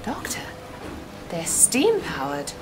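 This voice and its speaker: An actress playing a level-headed, practical adventurer companion in an audio drama, speaking in a dry, formal, matter-of-fact way. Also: female voice, high voice, wildly swooping pitch, takes it slowly, over music, heavily acted. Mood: wary, practical.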